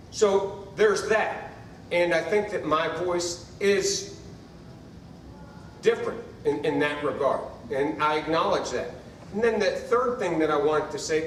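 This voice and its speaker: A man's voice: sits high, a bit bright. Earnest male coach in an echoey hall. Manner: earnest, reflective, repeats phrases for emphasis. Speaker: college football coach. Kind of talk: panel discussion answer. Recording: echoey room, mic far off, faint noise.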